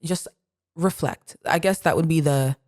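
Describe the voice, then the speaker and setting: A woman with a very low voice co-hosting a podcast. She talks in an animated, reflective way, full of "like" fillers. Her voice is close-miked and dry, in a quiet room. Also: unhurried, no room sound. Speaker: podcast co-host. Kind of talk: casual conversation.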